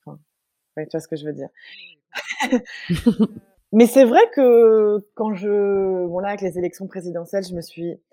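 A young woman from France, a guest talking in a casual interview, reflective and thoughtful, pausing often with fillers and restarting her sentences. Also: mid-range voice, dark tone, dry recording. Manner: reflective, many hesitations and restarts.

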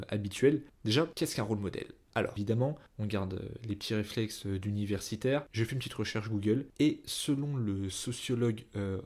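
A man's voice: on the low side, a little mellow. A young man hosting a solo podcast in an informal, chatty way, often wandering off into asides and interrupting himself. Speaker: podcast host. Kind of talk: casual solo podcast monologue.

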